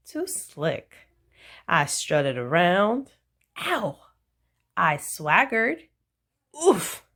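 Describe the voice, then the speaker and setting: A woman reading a children's picture book aloud, with slow, playful storytelling and animated emphasis. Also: fairly low pitch.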